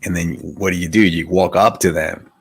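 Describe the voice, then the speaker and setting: Male meditation host, very low pitch, dry sound, reflective teaching tone. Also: fairly even intonation, normal speed, vocal fry, husky, no echo. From Venezuela. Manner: reflective, asks rhetorical questions, often adds "right?". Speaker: meditation teacher hosting a live stream. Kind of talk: conversational spiritual teaching.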